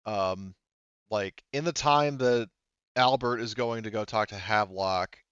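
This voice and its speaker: A man narrating a tabletop roleplaying game as game master, in a halting, rambling way, thinking aloud as he goes. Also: on the low side, a bit bright, clean tone, no echo, muffled recording.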